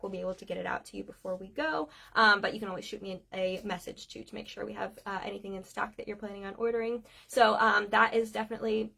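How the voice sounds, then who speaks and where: A woman hosting a cake decorating livestream in a relaxed, chatty way, filling pauses with ums. Her voice sits a little high, and she is losing her voice. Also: vocal fry. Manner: chatty, many ums, reads out viewer comments. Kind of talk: casual livestream chat.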